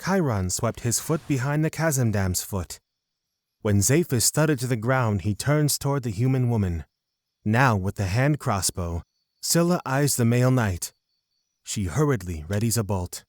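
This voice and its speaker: A man's voice: mid-range voice, expressive, measured pace, no room sound. Male narrator for fantasy audio drama: mid-range, dry studio-style sound, scripted storytelling.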